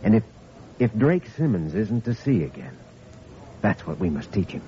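An actor playing an earnest, compassionate doctor in a thoughtful 1940s radio drama style. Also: male voice, on the low side, sing-song, normal speed, dark tone. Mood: earnest wonder. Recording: dull, noisy old radio recording.

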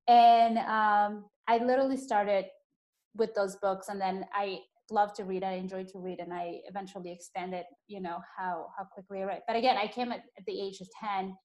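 A woman's voice: mid-range voice, fairly even intonation, moderate pace, a little mellow. A young woman who grew up speaking Spanish, hosting a casual podcast conversation in a chatty way with lots of fillers. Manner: chatty, uses many fillers. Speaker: podcast host.

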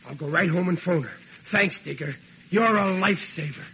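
High-voiced, blustering bumbling dad. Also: male voice, normal speed, pushed and bright. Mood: worried. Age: middle-aged. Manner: blustering, plainspoken, pleading. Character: exasperated, bumbling working-class father. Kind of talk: 1940s-50s radio sitcom acting. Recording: noisy dull old radio recording.

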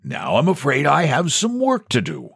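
A man's voice: fairly high pitch, wildly swooping pitch, moderate pace, husky, no room sound, clean studio sound, pitched above the performer's own voice, heavily acted. A man voicing a condescending bank president with an easy, patronizing, falsely sympathetic manner in a story reading. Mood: dismissive.

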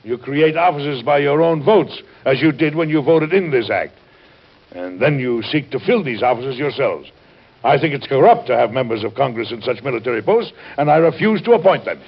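A weary, principled president of middle age in an old radio drama. His voice is a little husky and sits at a medium pitch, with formal, firm phrasing. The recording is dull and lo-fi. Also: animated, moderate pace. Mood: firm indignation. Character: weary, principled American president, stern and exacting. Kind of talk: historical radio drama acting.

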